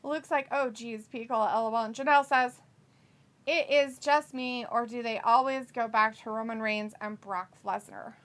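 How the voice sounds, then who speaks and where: A woman co-hosts a live watch-along in a relaxed, talkative way. Her voice sits a little high and sounds bright and forward. She giggles often and teases her partner while reading comments. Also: moderate pace. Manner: giggly and chatty, often breaks into laughter. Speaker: co-host of a wrestling watch-along stream. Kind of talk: casual live chat, reading viewer comments aloud.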